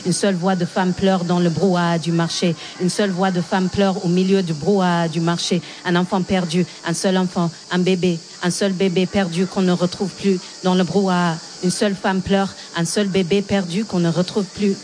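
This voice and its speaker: A woman's voice: on the low side, flat delivery, normal speed, few pauses, a little mellow, a little hiss or hum, close to an everyday voice. An actress in her thirties playing a frightened young woman lost from her mother, repeating her words in an incantatory way. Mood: trance-like. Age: 30s. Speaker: lead actress. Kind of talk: stage drama.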